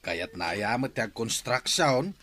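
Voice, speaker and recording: A man's voice: medium pitch, very animated, takes it slowly, crowd noise. A man with a Filipino accent acting a humble, respectful older construction worker in a radio drama. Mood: earnest friendly. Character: humble, hardworking older construction laborer neighbor.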